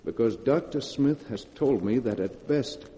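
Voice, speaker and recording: A man's voice: medium pitch, measured pace, dark tone, lightly acted. A man acting a tense, guilty lover trapped in a cave, with urgent theatrical delivery in a 1940s radio horror drama. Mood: grave reading. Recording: muffled vintage radio, long hall reverb.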